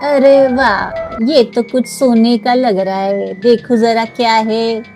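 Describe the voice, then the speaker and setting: Woman playing a slow-talking, sly thieving man cook. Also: on the high side, steady tone, darkish tone, faint music behind. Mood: gloating, sly. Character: sly, greedy thieving male house cook, toy character.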